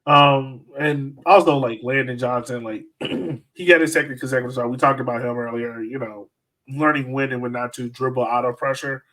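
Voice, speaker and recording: A man's voice: medium pitch, steady tone, normal speed, pushed and bright, low-quality recording. Male sports podcast host, middle-range voice, informal conversational delivery. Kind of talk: casual sports discussion.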